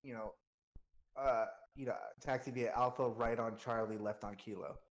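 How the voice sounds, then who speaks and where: A man's voice: medium pitch, steady tone, moderate pace, rough recording. A man casually explaining things like an informal coach, walking through examples. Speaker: air traffic controller coaching a flight sim player.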